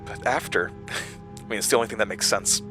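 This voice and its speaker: An actor as an anxious, stammering low-voiced man. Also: a little fast, music in the background, lightly acted. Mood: strained, insistent. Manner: hesitant, stammering, broken phrases. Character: troubled, anxious man gently questioning a troubled friend. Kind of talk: audio drama acting.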